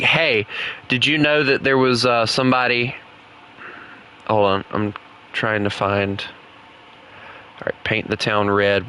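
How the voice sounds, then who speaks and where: A man's voice: lowish voice, gravelly, pushed and bright, a little hiss or hum. A young man with a Southern US accent, chatting casually and rambling while he plays a game. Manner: rambling, chatty, laughs often. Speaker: gaming streamer. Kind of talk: casual gaming chat.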